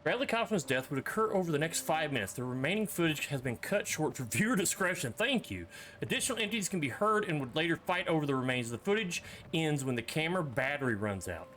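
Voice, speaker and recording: A man's voice: a little high, big pitch swings, quick pace, a bit bright, slight background noise. A man giving casual commentary as he plays a horror game, reading screen text aloud with joking, offhand asides. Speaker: streamer playing a horror game.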